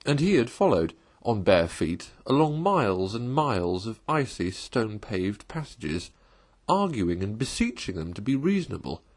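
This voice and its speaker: A man reading a classic children's story aloud as an expressive, literary narrator. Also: fairly low pitch, normal speed.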